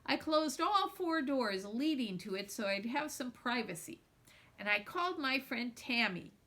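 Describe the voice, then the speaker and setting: A woman reads a children's novel aloud with a high, bright voice. She is expressive and dramatizes the feelings of the young narrator as she goes, telling it like a storyteller. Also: a little slow. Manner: animated, dramatizing dialogue and feelings. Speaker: children's book reader. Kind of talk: expressive read-aloud of a children's novel in a girl's first person.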